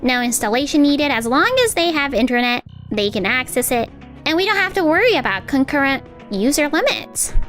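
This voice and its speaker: A woman's voice: sits high, very animated, brisk, fairly bright tone, soft background music. A woman playing an eager, unsure young new hire in an anime-style manga dub.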